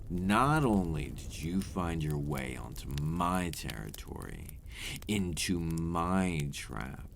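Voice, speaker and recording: A man voicing a centuries-old vampire in an ASMR roleplay, a courtly, teasing predator with condescending, formal, old-fashioned phrasing and a deep voice. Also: a little hiss or hum, heavily acted. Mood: seductive, hungry. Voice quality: deep, breathy at moments.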